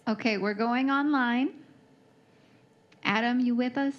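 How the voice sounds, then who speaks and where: A woman moderating a community forum, upbeat and brisk as she hands over to the next speaker. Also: fairly high pitch, noisy background. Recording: dull lo-fi room recording.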